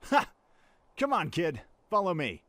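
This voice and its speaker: A man's voice: sits high, wildly swooping pitch, unhurried, no room sound, exaggerated voice. A man playing a brash cocky pirate prince. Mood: teasing. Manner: brash, swaggering, shouty. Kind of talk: fan radio drama acting. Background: music.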